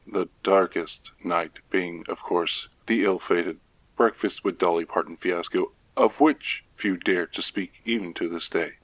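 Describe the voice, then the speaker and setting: A man playing a stern senior scientist in an audio drama, formal, measured and deadpan. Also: low-pitched, no room sound, dull audio. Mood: solemn, deadpan. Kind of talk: scripted audio drama testimonial.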